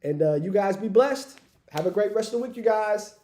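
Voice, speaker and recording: A man hosts a livestream while nursing a cold, so his voice comes out raspy and stuffy. He sits a little high in pitch, with a mellow, dark tone, and keeps things upbeat, calling on the chat in a casual way. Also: expressive, moderate pace. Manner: upbeat, hypes the chat, says "y'all". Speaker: livestream host.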